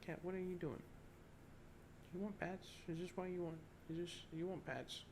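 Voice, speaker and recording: A man with a breathy voice in casual outtake chatter, coaxing a pet in a repetitive, amused and exasperated way. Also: high-pitched, fairly even intonation, normal speed, background noise.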